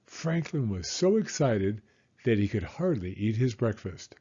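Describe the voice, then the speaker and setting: A man reading a children's storybook aloud in an expressive, deliberate storytelling manner. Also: lowish voice, breathy edge, lo-fi sound. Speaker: storybook reader. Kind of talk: children's read-aloud narration.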